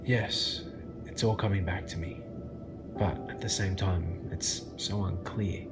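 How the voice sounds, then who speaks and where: Low-voiced confused dishwasher. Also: male voice, fairly even intonation, takes it slowly, music in the background. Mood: bewildered. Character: confused, wary young dishwasher named Max. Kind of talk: audio drama acting. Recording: noisy room ambience behind.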